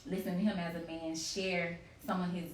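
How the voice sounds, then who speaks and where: Low-voiced woman in a roomy, distant recording. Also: little pitch movement, brisk. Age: late 30s. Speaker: interview guest, company CEO. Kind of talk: casual conversational interview. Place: remote live video chat in a room. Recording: roomy, distant mic, faint noise.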